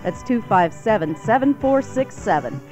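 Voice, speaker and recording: A woman's voice: medium pitch, sing-song, normal speed. An American woman reading a scripted promo as a radio station announcer. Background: music.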